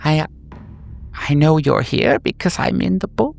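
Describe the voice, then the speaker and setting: A man voicing a frail, eerie, shambling woman who speaks in a halting, insistent way in a tabletop horror game. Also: a little high, animated, gravelly, a little mellow, faint music behind, pitched above the performer's own voice, full character voice.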